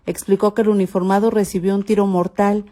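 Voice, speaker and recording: A woman's voice: medium pitch, flat delivery, fairly quick, mellow. A woman with a Mexican accent narrating a scripted news report as a reporter.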